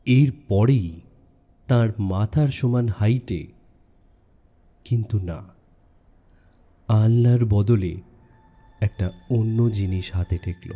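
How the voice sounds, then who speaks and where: A man narrating a scripted suspense story in a measured, dramatic way with deliberate pauses. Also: fairly low pitch, mellow, husky. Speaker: audiobook narrator.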